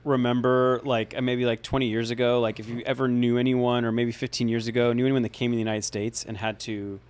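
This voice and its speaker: A man's voice: medium pitch, level tone, quick pace, clear, resonant tone. A man co-hosting a podcast in casual chat, thinking aloud hesitantly with many restarts. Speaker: podcast co-host.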